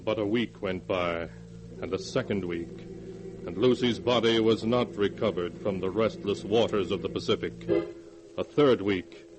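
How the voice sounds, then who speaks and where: A grave male storyteller played by a radio actor narrates in 1940s horror style. His low voice is dramatic and deliberate, with music clearly behind it. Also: lightly acted, low-quality recording. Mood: grave, suspenseful. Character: grave storyteller of dark tales.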